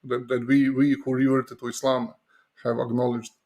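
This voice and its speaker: A man interviewing a guest, adding short remarks with halting repeats. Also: mid-range voice, level tone, a little mellow. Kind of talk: casual interview chat.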